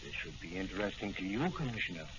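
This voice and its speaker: Formal hospital doctor in old radio drama, lowish grave voice, muffled hissy audio. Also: male voice, expressive, fast talker. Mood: grave, discreet. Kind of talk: 1940s radio acting. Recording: noisy, muffled old-time radio recording.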